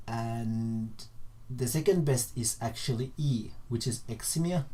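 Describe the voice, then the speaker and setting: A young Finnish man with a soft, mellow tone and a Finnish accent. He is a native Finnish speaker talking informally as a vlogger, haltingly rephrasing as he explains. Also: mid-range voice. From Finland. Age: young adult. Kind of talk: casual informal explainer to camera.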